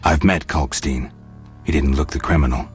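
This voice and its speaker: Very deep, deadpan monster hunter. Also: male voice, moderate pace. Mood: matter-of-fact. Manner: deadpan, laconic. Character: gruff, terse, wry male monster hunter. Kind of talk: video game voice acting. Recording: music behind.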